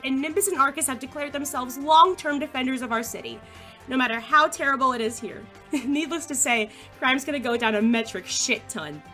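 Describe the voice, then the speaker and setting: Teen girl school anchor adult woman acting, audio drama; sharp high voice, gossipy and bossy broadcast delivery. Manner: peppy broadcast delivery breaking into bossy outbursts.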